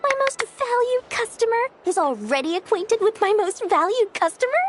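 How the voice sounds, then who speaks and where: A woman's voice: very high voice, big pitch swings, normal speed, background noise. A woman voicing an effusive, scheming, money-loving little female merchant for a video game, with a fawning, theatrical sales patter. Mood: fawning, eager. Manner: fawning, theatrical salesmanship, refers to self grandly. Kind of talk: video game character acting.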